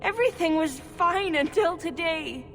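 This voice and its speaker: High, timid young slave girl. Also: lively intonation, unhurried, a bit bright, faint music behind, heavily acted. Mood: frightened, pleading. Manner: timid, naive, pleading. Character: frightened, meek, innocent young elven slave girl. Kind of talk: dramatic video game acting. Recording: reverberant, noisy game ambience.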